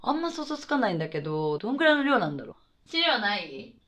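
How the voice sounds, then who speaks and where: A woman playing a mischievous radio co-host in a scripted comedy, casual and deadpan. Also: mid-range voice, quick pace. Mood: deadpan, teasing. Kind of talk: scripted comedic late-night radio chat.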